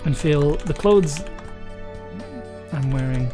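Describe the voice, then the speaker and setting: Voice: mid-range voice, slow. A streamer who thinks aloud while drafting a journaling game entry, often hesitating, trailing off and rereading drafted lines. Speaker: streamer and writer. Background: faint music and keyboard typing.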